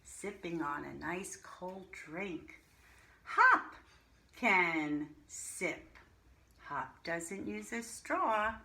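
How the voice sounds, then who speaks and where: A woman teaching a reading lesson to young children, pronouncing single words deliberately and speaking to the children directly. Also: fairly low pitch, expressive, pushed and bright. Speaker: primary school reading teacher. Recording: roomy echo, faint background noise.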